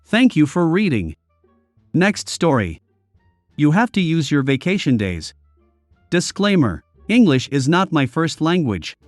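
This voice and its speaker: A man narrates read-aloud stories in a steady, even manner. His voice sits a bit high for a man. The recording is close and dry, with soft music playing underneath. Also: a little slow, dry recording. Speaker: narrator reading online posts.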